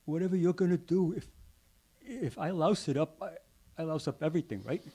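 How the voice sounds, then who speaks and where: An actor playing a dim, excitable police sidekick in a live radio drama, flustered, folksy and unsure of himself. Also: male voice, a little high, moderate pace, husky. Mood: anxious, sheepish. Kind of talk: live recreation of golden age radio drama.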